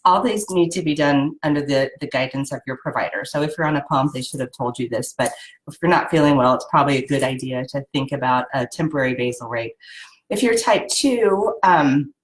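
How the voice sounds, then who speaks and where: A woman's voice: a bass voice, fairly quick, poor audio. A woman with a head cold and a nasal voice, casually hosting a live video and explaining health tips with lots of ums. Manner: chatty, explanatory, frequent ums and "right?".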